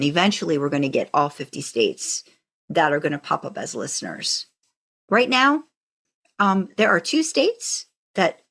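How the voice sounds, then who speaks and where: A woman with a slightly low voice and very lively intonation hosts a podcast. She talks casually and chattily, as in an informal conversation. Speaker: podcast co-host.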